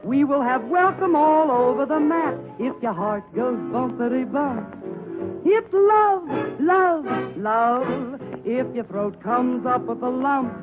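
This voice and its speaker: A woman's voice: high voice, animated, slow. A woman singing a playful 1940s novelty love song. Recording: tinny vintage radio with music.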